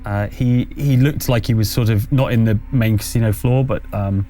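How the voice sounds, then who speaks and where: A man's voice: mid-range voice, steady tone, normal speed, warm tone, faint music behind. A man running a tabletop roleplaying game, narrating and explaining in a conversational, slightly hesitant way. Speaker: game master.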